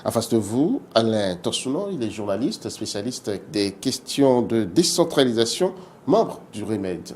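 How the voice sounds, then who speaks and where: A man's voice: on the low side, very animated, measured pace, a little mellow. A man with a Beninese French accent moderating a studio interview as a television host. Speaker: TV show host. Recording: clear background noise.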